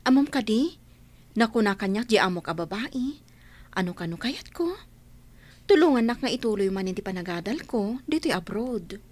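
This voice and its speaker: A woman's voice: medium pitch, very animated, moderate pace. A woman playing an earnest, devoted young mother in a radio-style drama, speaking sincerely with an Ilocano accent. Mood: grateful, happy.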